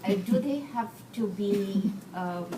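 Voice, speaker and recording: A woman's voice: mid-range voice, slow, heavy background noise. Hesitant woman asking a question. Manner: hesitant, many fillers. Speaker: audience member. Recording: echoey hall, mic far off.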